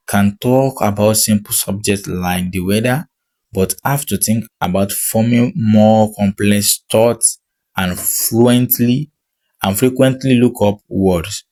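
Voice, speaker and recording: A man's voice: fairly low pitch, darkish tone. A man whose first language is not English, walking viewers through a screen-share tutorial as an online money coach, reading on-screen text aloud and often checking in with "okay?".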